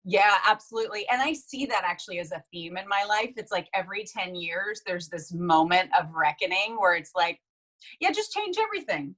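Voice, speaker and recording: A woman in her forties from the United States, a guest telling her life story in a casual, animated interview. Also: mid-range voice, brisk, low-quality recording.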